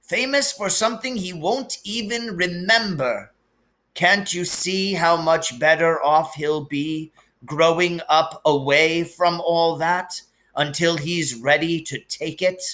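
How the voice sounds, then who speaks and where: A calm old wizard from a storybook, acted out by a man reading aloud. He speaks very slowly in a raised voice, heavy, firm and serious. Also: on the high side, pitched above the performer's own voice. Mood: serious, assured. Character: calm, grave, wise old male wizard.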